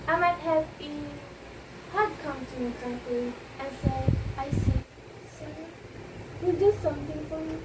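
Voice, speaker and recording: Woman to play lofty, teasing theater actress, worldly and self-possessed; high voice, stagey delivery, heard from far off. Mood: wry, teasing. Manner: theatrical, lofty, drawn-out emphasis. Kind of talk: amateur one-act stage play acting. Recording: echoey, distant room recording with loud noise.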